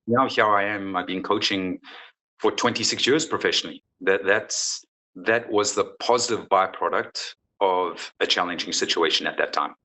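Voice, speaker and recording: A man's voice: low-pitched, moderate pace, sharp tone, low-quality recording. A man in his forties from South Africa, a football coach answering interview questions in an earnest, frank way with many restarts.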